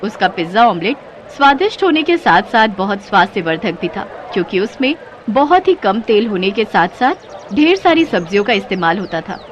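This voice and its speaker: A woman's voice: mid-range voice, sing-song, normal speed, slight background noise. A woman delivering scripted moral-story narration as the narrator.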